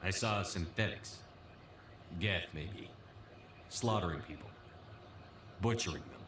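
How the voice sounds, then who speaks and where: A resolute military commander in a sci-fi game, deep in pitch. He is measured and restrained. His lines sit in a roomy space with clear noise behind them. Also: a bit bright. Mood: somber. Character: stoic, determined human space-marine commander, adult man. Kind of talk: scripted video game dialogue.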